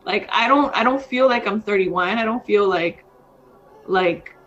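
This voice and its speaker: A woman's voice: medium pitch, measured pace, fairly bright tone, lo-fi sound. A woman in her thirties, co-hosting a podcast in casual chat, rambling with lots of fillers. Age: 30s. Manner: rambling, frequent fillers, says dude. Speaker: podcast co-host.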